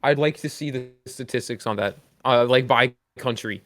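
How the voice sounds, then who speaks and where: A man's voice: mid-range voice, normal speed, a bit bright, dry recording. A man co-hosting a podcast in casual, informal chat full of slang. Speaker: podcast co-host.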